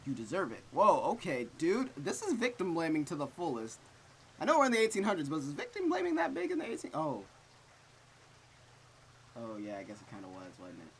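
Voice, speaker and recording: A man hosting a visual novel playthrough, chatting casually with animated, wry reactions to what he reads. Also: on the high side, fairly quick, slight background noise. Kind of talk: casual chatty let's play commentary, reading game text aloud. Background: game music, looping rain.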